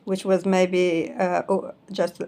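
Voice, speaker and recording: A woman's voice: on the low side, flat delivery, slow, warm tone. A woman in her fifties, a native Arabic speaker, who self-publishes and talks casually about books, rambling and correcting words mid-phrase. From Arabic-speaking country. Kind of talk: casual explanatory talk, showing books.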